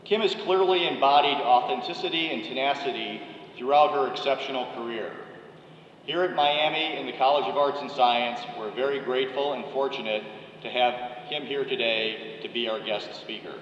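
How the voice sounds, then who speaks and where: A man, a college dean, reading a measured, formal introduction of a guest speaker from a podium microphone in a large ceremony hall. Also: medium pitch, fairly bright tone, a little hiss or hum. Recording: echoey, dull hall recording.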